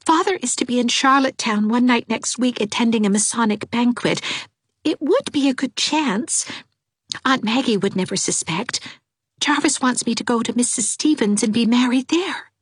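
A woman's voice: a little high, normal speed, husky, dry recording, clean studio sound, voice pushed up. A woman voicing a timid, tearful young woman, sweet and coaxing, in a pleading, tremulous manner. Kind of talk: audiobook character voice.

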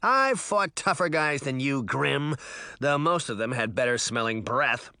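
A man's voice: mid-range voice, animated, unhurried, bright, forceful tone, clear, resonant tone, no echo, studio quality, exaggerated voice. A man voicing a cocky, defiant boy with a pompous, boastful manner in a children's audiobook. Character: cocky, defiant boy, haughty self-styled royal.

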